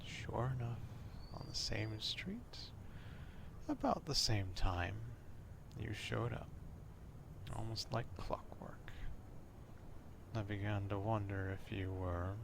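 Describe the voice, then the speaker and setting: A man as a slow, gravelly, whispering vampire stalker. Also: lowish voice, lively intonation, noisy background, exaggerated voice. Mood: smitten, creepy, then obsessive, calm. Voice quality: hushed, breathy half-whisper with gravelly fry. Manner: slow, deliberate, formal archaic wording. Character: male vampire stalker, old-fashioned, obsessive, quietly menacing. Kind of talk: ASMR roleplay monologue.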